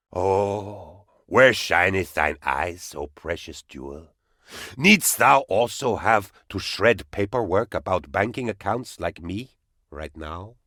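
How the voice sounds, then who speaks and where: Game role: pompous, lovesick, paranoid presidential sidekick. Lowish, very animated male voice, theatrical delivery, close dry recording. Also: no echo, heavily acted. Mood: pining, theatrical. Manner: pompous, melodramatic. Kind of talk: theatrical video game character voice lines.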